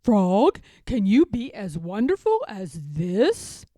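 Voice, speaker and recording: A woman acts out a male toad from a children's storybook, proud and easily worried. He cries out loudly and dramatically, with big swings in pitch, in a close, dry sound. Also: huge pitch swings, slow, dry recording, full character voice. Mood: proud, boastful. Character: boastful male toad turning anxious and lonely.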